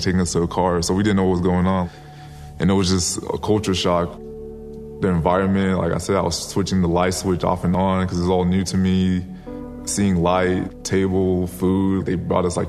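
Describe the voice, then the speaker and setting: A man's voice: low-pitched, darkish tone, in a large hall. A young man from Liberia who moved to the US as a child, a college athlete talking casually in a documentary interview. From born in Liberia, moved to the US at five. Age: young adult. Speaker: college football player.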